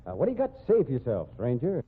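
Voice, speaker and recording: A man's voice: mid-range voice, wildly swooping pitch, very fast, husky. A man co-hosting an old-time radio show with scripted, folksy banter. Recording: tinny, band-limited old radio recording.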